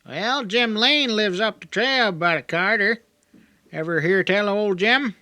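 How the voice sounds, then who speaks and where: High, eager young hillbilly. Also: male voice, measured pace, sharp tone, dry recording. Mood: rambling, folksy. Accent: rural Southern hillbilly. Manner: folksy drawl, rambling and eager. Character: talkative young Ozark backwoods native, naive and friendly. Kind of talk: audiobook character dialogue.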